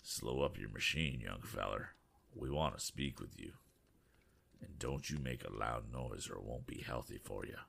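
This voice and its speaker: Casting: menacing masked robber, deep growl, quiet and gravelly, read by a man. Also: steady tone, a little slow, heavily acted. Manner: low, growled threats.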